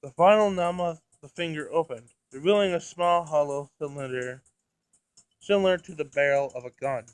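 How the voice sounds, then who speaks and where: A man's voice: fairly high pitch, moderate pace, clean tone, no room sound. A man reading a visual novel aloud as a let's-play, stumbling over words and adding casual comments. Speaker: let's-play video creator.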